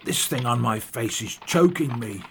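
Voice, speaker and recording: A man voicing a grand, gentle disgraced male army captain who speaks with dignified courtesy in an audiobook story. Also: medium pitch, expressive, normal speed, creaky, a little hiss or hum. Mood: pleading.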